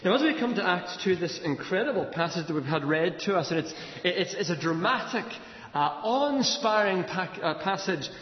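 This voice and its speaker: An Irish man preaches in a large, very reverberant hall. His voice sits high for a man, and he tells the story dramatically, repeating words and posing questions. The sound is slightly dull. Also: lively intonation, normal speed. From Ireland. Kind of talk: sermon, expository storytelling from a Bible passage.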